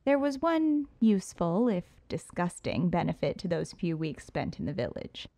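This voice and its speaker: Woman narrator, first-person audiobook, poised and sultry, lowish voice, close and dry. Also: sing-song, normal speed, warm tone, no echo.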